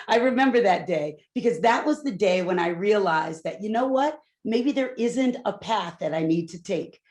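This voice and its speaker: A middle-aged woman from Newport, Rhode Island, a loud and candid corporate executive telling her career story on a panel with asides to the audience. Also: medium pitch. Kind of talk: panel talk, personal story.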